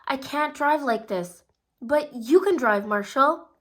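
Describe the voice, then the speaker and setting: A woman's voice: high voice, expressive, takes it slowly, pitched above the performer's own voice, exaggerated voice. A woman voicing a friendly, easygoing male champion racer and coach in a read-aloud storybook. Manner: friendly and encouraging.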